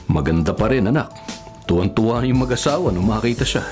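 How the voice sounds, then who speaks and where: An actor plays an older man, a kindly father with a Filipino accent. His low voice is gentle and encouraging, in radio drama style. Faint music plays behind him. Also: lively intonation, brisk, lightly acted.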